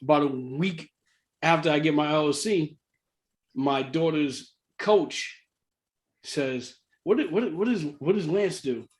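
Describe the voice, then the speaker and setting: A middle-aged man telling a personal story casually, in an animated way, repeating phrases for emphasis. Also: on the high side, measured pace. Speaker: real estate investor sharing his story.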